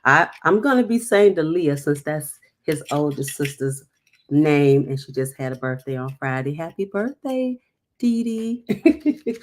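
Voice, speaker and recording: A woman's voice: low voice, animated, a little slow. A woman hosting a storytime, reading a picture book aloud with chatty, encouraging, emphatic asides.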